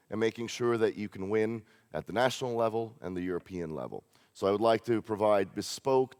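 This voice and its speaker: Man from Hungary, lowish smooth voice, earnest speech with many hesitations, reverberant hall. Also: a little mellow. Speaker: candidate giving a speech. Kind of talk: campaign speech. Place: large conference hall.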